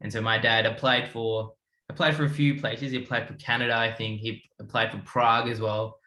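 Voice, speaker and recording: Young man from India, bright tone, rambling delivery, roomy echo. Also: medium pitch, fairly even intonation, brisk. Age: 20s. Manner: rambling, many restarts. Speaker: guest. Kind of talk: casual panel chat.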